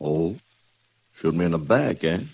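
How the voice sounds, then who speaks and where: A man's voice: low-pitched, lively intonation, unhurried, vocal fry, a little mellow, husky, no room sound, exaggerated voice. An American actor playing a surly, defiant, cocky gunman who blusters combatively in a vintage radio western. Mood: surly, evasive. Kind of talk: 1950s radio western acting. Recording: vintage radio drama recording.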